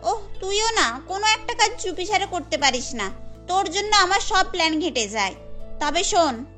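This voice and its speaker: Woman playing very high-pitched bossy young schemer. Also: big pitch swings, normal speed, pushed and bright, soft background music, full character voice. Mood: bossy, scheming.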